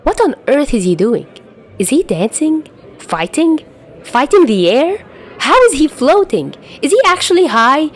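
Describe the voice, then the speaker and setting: A woman performs a young woman commuter in an audio fan fiction story. She is excitable and incredulous, exclaiming her thoughts with wide swings in pitch as she tells of a supernatural disaster around her. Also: unhurried. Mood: bewildered, alarmed. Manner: incredulous, exclamatory inner monologue. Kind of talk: first-person fan fiction narration.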